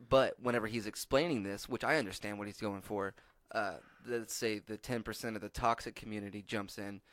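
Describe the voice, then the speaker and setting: Rambling, low-voiced man on a podcast. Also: steady tone, brisk, fairly bright tone, no room sound. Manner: rambling storyteller, frequent restarts and profanity. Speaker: podcast co-host. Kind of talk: casual chat.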